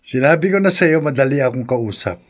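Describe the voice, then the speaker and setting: An actor playing a cocky, greedy gambler in a horror radio drama, with a brash, questioning manner. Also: male voice, medium pitch, animated, fairly quick, lightly acted. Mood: eager, cocky. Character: cocky, greedy male gambler, wary of bargains.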